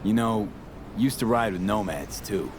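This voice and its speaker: An actor playing a cool, streetwise mercenary and former nomad in a video game, talking in terse, dry phrases. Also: male voice, lowish voice, expressive, unhurried, noisy background. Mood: casual, probing. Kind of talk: cinematic video game voice acting.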